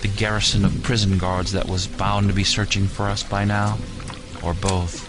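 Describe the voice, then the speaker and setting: A man giving grave, deliberate, dramatic first-person narration for an audio story. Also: low voice, little pitch movement. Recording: music bed with rain sounds.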